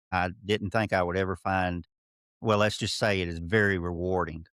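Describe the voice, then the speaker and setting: A middle-aged Southern man from Alabama hosts a casual podcast. His voice sits low and he wanders into chatty asides. The sound is dry and close. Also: a bit bright, clear, resonant tone, no room sound. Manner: friendly, rambling asides. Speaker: podcast host. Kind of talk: casual interview.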